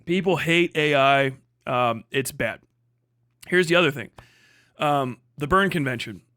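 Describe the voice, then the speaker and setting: A man with a somewhat high voice talks off the cuff as a livestream commentator. His delivery is blunt and emphatic, peppered with profanity and repeated phrases. The sound is very dry, with no room echo. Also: a little slow, bright, forceful tone.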